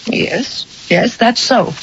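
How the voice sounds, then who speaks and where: An actress playing an anxious, defensive housewife in a radio drama, pleading earnestly with hesitant starts. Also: female voice, a little high, slow pace. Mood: uneasy. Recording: dull, hissy old radio recording.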